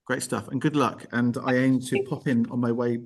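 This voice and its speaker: A man's voice: mid-range voice, level tone, normal speed. A man hosting an informal live interview in a conversational way, often rephrasing and listing as he goes. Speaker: host.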